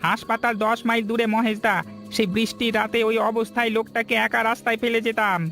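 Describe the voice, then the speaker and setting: Male actor as kind, inquisitive cartoon villager: strikingly high pitch, plain-spoken, light music behind. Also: flat delivery, brisk, creaky, bright, forceful tone, full character voice. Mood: firm. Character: kind but probing hill villager, protective husband. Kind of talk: cartoon story dialogue.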